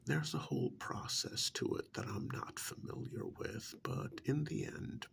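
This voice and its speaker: A man playing a smug, menacing agent of a vampire in a soft-spoken ASMR roleplay, with a breathy, gravelly half-whisper and deliberate, condescending delivery. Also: mid-range voice, a little hiss or hum. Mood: patient, explaining. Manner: slow, deliberate, condescending, with pauses. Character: smug, menacing vampire's male agent interrogating a captured hunter.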